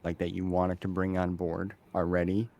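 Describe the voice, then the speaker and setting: A man's voice: sits low, completely flat delivery, moderate pace, darkish tone. A man hosting an interview in casual, informal, slangy conversation. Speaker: interview host.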